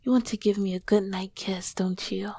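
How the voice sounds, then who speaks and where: A woman playing an ancient, tender, teasing succubus queen in an intimate ASMR roleplay, soft-spoken and often dropping to a whisper. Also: medium pitch, steady tone, husky, dry recording. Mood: playful, teasing. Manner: slow, gentle, affectionate. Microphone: close microphone, dry.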